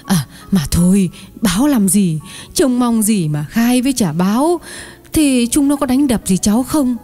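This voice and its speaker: A woman's voice: on the high side, lively intonation, unhurried, dark tone, faint music behind. A woman playing a kind-hearted, chatty older village aunt who exclaims and sighs in an audio story. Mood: pitying, fussing. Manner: exclaiming, chatty, sighing over misfortunes. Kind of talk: acted dialogue in an audio story.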